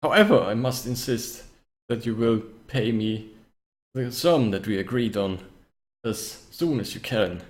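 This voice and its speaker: A man voicing a scheming male spellcaster in a tabletop game, with a formal, halting and politely menacing way of speaking. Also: fairly low pitch, expressive. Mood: insistent.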